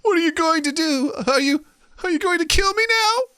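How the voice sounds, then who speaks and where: A man voicing a terrified vampire in a shrill falsetto, wailing and begging melodramatically. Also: expressive, brisk, sharp tone, dry recording, full character voice. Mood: panicked pleading. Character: terrified, pleading male vampire bat victim.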